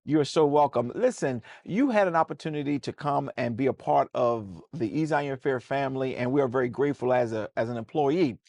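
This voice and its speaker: A man's voice: mid-range voice, a little fast, gravelly, hardly any pauses, darkish tone, no echo, studio quality. A man with an American accent, a pastor hosting a casual church talk show, folksy and preacherly, often repeating words as he starts a sentence.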